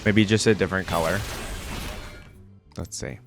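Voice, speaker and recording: A man's voice: low-pitched, steady tone, moderate pace, gravelly. A man giving casual, off-the-cuff reaction commentary as a video host, musing aloud in slangy talk. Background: music and video game sounds.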